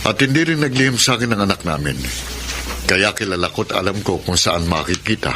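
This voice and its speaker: A man's voice: fairly low pitch, lively intonation, brisk, background noise, close to an everyday voice. A Filipino man playing a devoted, respectful middle-aged stepfather in a radio drama. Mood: respectful, regretful.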